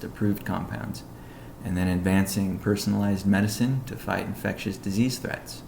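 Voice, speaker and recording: Low, gravelly man with flat delivery. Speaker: company executive giving update. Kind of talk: conference call business briefing. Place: conference call, echoey room. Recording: echoey room, faint background noise.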